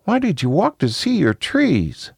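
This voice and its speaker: A man's voice: high voice, huge pitch swings, measured pace, gravelly, breathy edge, dry recording, clean studio sound, voice pushed up, full character voice. A man voicing a small, curious, slightly uneasy boy in a children's story, asking things simply and earnestly.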